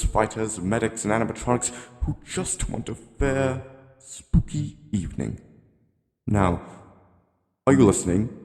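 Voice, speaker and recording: A man voicing a genteel, rambling elderly animatronic hippo from a game, politely ominous and gently admonishing in a slow, courtly monologue. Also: fairly low pitch, close to an everyday voice. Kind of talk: scripted character monologue. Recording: long cavernous reverb.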